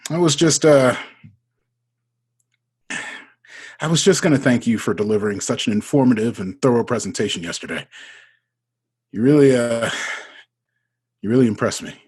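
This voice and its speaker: A man acting in an audio drama as a nervous, smitten businessman, with a hesitant, self-doubting delivery and a husky voice. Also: medium pitch, lively intonation, fairly quick, pauses often, a little mellow. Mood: nervous, eager. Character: nervous, smitten businessman talking himself into phoning a married woman.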